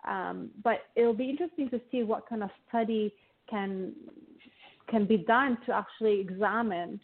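A woman's voice: mid-range voice, moderate pace. Woman, from Lebanon, interview guest, thoughtful, restarts often, phone-quality sound. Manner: thoughtful, explanatory, with frequent restarts. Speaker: guest financial therapist. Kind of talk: casual interview talk. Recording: tinny phone-like line.

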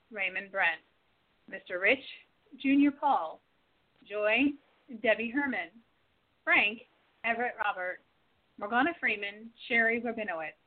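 A woman narrator reading scripted scene introductions and credits for a radio play. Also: on the high side. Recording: tinny phone-like line.

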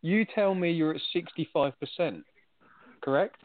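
A man's voice: a little high, moderate pace. A man, a guest on a call, teasing and mock-pompous in joking banter. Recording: tinny call audio.

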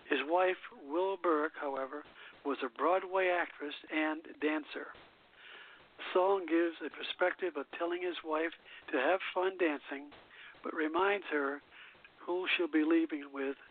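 A man hosting a radio show, reading music history from a script in a halting way, stumbling and repeating phrases. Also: fairly high pitch. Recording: thin phone-line internet radio sound.

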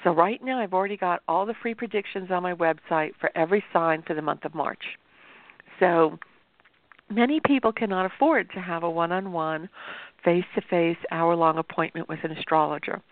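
A woman astrologer hosting a show, talking to viewers in a chatty, explanatory way, with a sore throat that makes her voice gravelly. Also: fairly low pitch, normal speed, no room sound. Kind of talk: informal talk-show monologue. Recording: tinny phone-like dry sound.

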